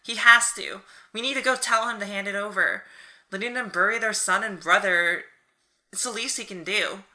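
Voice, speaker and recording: A young heroine in a superhero audio drama, acted by a woman. She has a mid-pitched voice and a conversational way of talking. She comes across as frank and caring. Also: moderate pace, sharp tone, low-quality recording. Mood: indignant.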